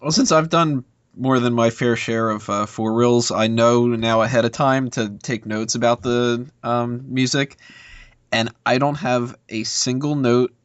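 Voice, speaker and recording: A man's voice: mid-range voice, fairly even intonation, unhurried, clean tone, no room sound, lo-fi sound. Casual man on a dry podcast mic. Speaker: podcast panelist. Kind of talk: casual opinionated movie chat.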